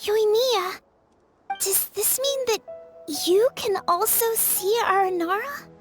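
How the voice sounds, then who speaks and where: A woman voicing a fragile, wistful little girl in a video game, earnest and halting in her delivery. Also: very high-pitched, music in the background. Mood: hopeful. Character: wistful ailing little girl clinging to childhood. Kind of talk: video game character acting.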